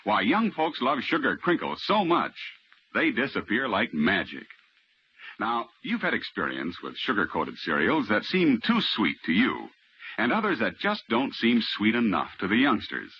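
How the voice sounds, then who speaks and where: An American man giving a folksy, enthusiastic sales pitch as a vintage radio commercial announcer. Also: wildly swooping pitch, moderate pace, husky, no room sound. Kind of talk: vintage radio cereal advertisement. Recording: muffled vintage radio recording.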